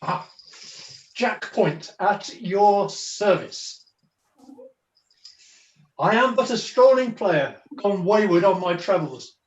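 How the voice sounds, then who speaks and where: A man's voice: high-pitched, animated, takes it slowly, long pauses, roomy sound, highs cut off. A man playing a weary, melodramatic jester in a comic pantomime, speaking in flowery mock-archaic phrases over a video call. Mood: comic, courtly. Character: melodramatic weary jester, a strolling player on a quest.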